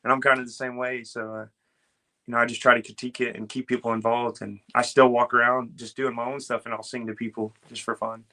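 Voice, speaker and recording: Smooth, bright-voiced man chatting earnestly. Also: mid-range voice, little pitch movement, fast talker. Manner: earnest and easygoing, with many fillers like "uh" and "you know". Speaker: guest, Elvis tribute artist. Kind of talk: casual interview chat. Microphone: video call.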